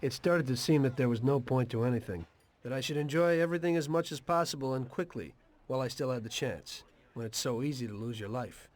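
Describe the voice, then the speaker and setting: A man's voice: medium pitch, a little fast, darkish tone, natural voice. A man playing a weary, troubled gangster in a crime video game. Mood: weary, reflective. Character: weary, troubled male gangster, former taxi driver. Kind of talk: confessional narration and dramatic game dialogue.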